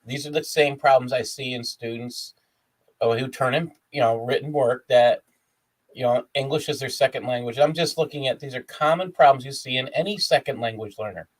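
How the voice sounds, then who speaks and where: A man with a medium-pitched voice who talks like an educator. He explains each point step by step in a casual, conversational way. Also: brisk, low-quality recording. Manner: explanatory, like a teacher walking through a grammar point. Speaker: co-host, educator. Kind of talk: casual critical discussion.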